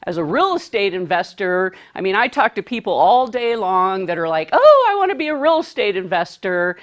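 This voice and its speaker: A woman's voice: medium pitch, big pitch swings, moderate pace. A woman giving a seminar talk to a live audience, projecting her voice and asking the room questions. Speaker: speaker.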